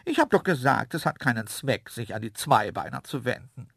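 A man's voice: medium pitch, big pitch swings, moderate pace, creaky, fairly bright tone, slightly rough, dry recording, clean studio sound, heavily acted. A man voicing a dainty, cheeky female antelope, easily offended, with a small delicate voice, in a children's story. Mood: huffy. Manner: saucy, haughty.

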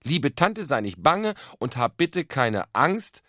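Man playing a nervous, high-pitched young boy. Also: very animated, pushed and bright, no room sound, clean studio sound, heavily acted. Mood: loud, deliberate reciting. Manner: hesitant, deliberate, effortful. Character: nervous young boy, anxious but imaginative, reciting a poem.

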